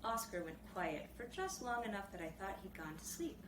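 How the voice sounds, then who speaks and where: A woman reading a children's story aloud in an expressive, animated storybook style. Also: medium pitch, moderate pace. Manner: expressive, acts out the characters' dialogue. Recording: roomy, distant mic, clearly noisy background.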